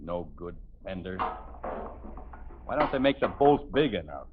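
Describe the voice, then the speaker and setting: A man's voice: low-pitched, very animated, measured pace, a little hiss or hum. An American actor playing an exasperated, sarcastic suburban father in a 1950s radio comedy. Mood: grumbling, sarcastic. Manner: sarcastic, grumbling. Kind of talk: 1950s radio sitcom acting. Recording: muffled 1950s radio recording.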